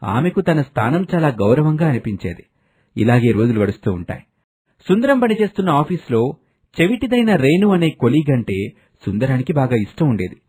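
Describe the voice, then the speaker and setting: A man's voice: mid-range voice, big pitch swings, fairly quick, dark tone, husky. A man narrating a scripted family story as a storyteller.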